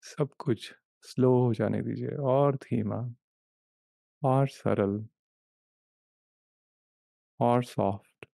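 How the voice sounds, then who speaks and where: A man leading a guided meditation, giving gentle, unhurried instructions in short repeated phrases with long pauses. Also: medium pitch, fairly even intonation, vocal fry, no room sound. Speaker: meditation guide, life coach.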